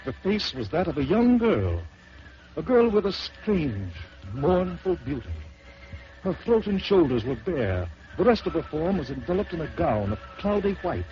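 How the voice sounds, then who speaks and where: A man narrating a first-person horror story in dramatic old-time radio style, swelling and dropping to hushed tones. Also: fairly high pitch, sing-song, normal speed. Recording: dull lo-fi old radio recording with hiss.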